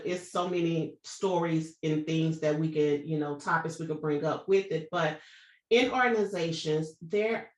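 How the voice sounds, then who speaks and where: A woman hosting a podcast talks in a somewhat low voice, recorded in an echoey room. She reads a guest introduction and then chats loosely, stumbling over words and restarting her sentences. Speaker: podcast host. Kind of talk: reading a guest introduction, then casual interviewing.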